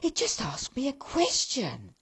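A woman voicing two tiny, cheery male elf brothers who talk in squeaky, excitable, condescending tones. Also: unhurried, breathy edge, heavily acted. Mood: excited.

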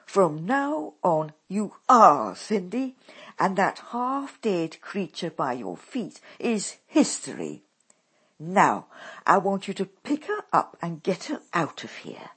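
A woman's voice: fairly low pitch, wildly swooping pitch, takes it slowly, a little mellow, breathy edge, voice pushed up, heavily acted. A woman reading a storybook aloud, voicing a bossy, scornful stepmother witch who snaps orders at her daughters.

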